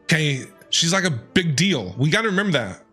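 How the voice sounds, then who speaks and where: A man's voice: a little high, very animated, brisk, sharp tone, breathy edge, soft background music. A man reacting casually to a game as he streams, chatty and reassuring. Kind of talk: casual gameplay reaction commentary.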